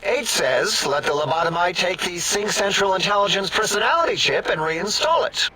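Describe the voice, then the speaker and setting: A petty, sarcastic robot scientist from a video game, with a highish, bright voice. He is excitable, snide and bickering, over faint background noise. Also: moderate pace, rough recording. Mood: eager, wisecracking. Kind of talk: video game character acting.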